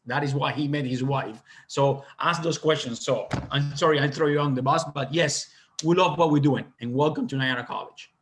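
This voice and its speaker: A man's voice: mid-range voice, a little fast. A man with a Cuban Spanish accent, a hospitality professor giving an enthusiastic welcoming speech in slightly broken English. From Cuba. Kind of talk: welcoming speech at online info session.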